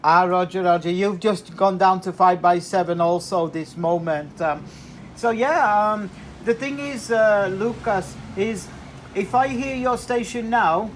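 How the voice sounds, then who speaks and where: High-pitched man chatting as a radio operator. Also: unhurried, a little hiss or hum. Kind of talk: casual two-way radio chat.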